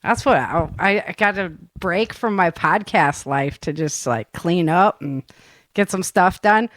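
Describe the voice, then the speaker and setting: A woman's voice: lowish voice, unhurried, fairly bright tone, no echo. A woman hosting a podcast in casual chat, with an informal, rambling, giggly way of talking. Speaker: podcast host.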